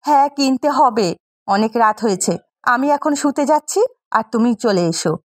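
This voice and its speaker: A woman's voice: fairly high pitch, animated, normal speed, no echo, clean studio sound, soft background music. A woman playing a demanding, jealous village wife in a cartoon folk tale, scolding and insistent. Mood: angry. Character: demanding, jealous village wife nagging her poor husband.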